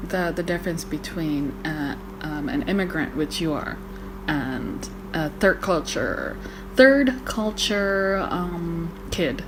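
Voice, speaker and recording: A woman's voice: lowish voice, animated, slow pace, background noise. A young woman from Malaysia, co-hosting a podcast in casual chat, swearing freely and using lots of fillers. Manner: chatty, swearing, many fillers. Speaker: podcast co-host.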